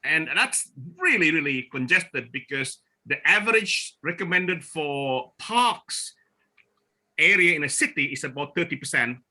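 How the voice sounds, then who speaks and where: Man, from Borneo, forum presenter, very bright tense tone, slightly high, halting with restarts, video call. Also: animated, poor audio. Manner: halting, with frequent restarts and fillers. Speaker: forum speaker presenting slides. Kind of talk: online presentation talk.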